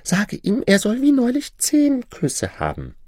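A man voicing a proud, capricious young princess with a high, imperious voice. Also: wildly swooping pitch, measured pace, darkish tone, no room sound, clean studio sound, full character voice. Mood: haughty commanding. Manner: high-pitched, imperious, wailing when sad.

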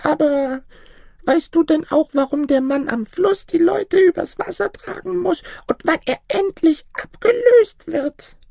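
A man voicing an ancient, crafty little old woman in a fairy-tale reading, with a thin, breathy falsetto and a slow, coaxing manner. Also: dark tone, dry recording, heavily acted.

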